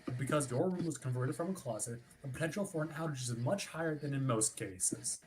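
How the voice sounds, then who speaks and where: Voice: medium pitch, a little fast, a little mellow, close to an everyday voice. An actor playing an officious, politely pushy ship's watch officer in a formal, wordy script reading. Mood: politely insistent. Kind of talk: theatrical script reading over a livestream. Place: remote online stream.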